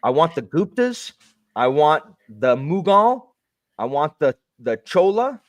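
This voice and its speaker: A man hosting a livestream, chatting casually in a lively, rambling way. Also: a little high, slow, dry recording, clean studio sound. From Canada. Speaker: streamer host.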